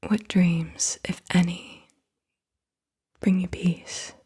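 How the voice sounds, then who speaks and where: A woman whispers in a very breathy, airy voice with a bright, hushed edge. She reads questions gently and unhurriedly as an ASMR host, pitched a little low for a woman. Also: fairly even intonation, extremely slow. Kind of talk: soft-spoken whispered ASMR, reading questions. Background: computer keyboard typing.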